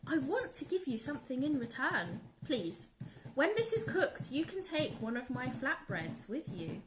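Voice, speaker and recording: A woman's voice: sits high, very animated, a little slow, background noise. A woman voicing a sad woman who cannot light her cooking fire, fretful and sighing. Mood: grateful. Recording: voice over loud guitar music.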